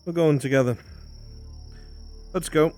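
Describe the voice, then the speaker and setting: A man's voice: on the high side, unhurried, a little mellow, faint music behind, natural voice. A man playing a seasoned ogre-hunting inquisitor in fantasy roleplay, cool and commanding, dry and self-assured.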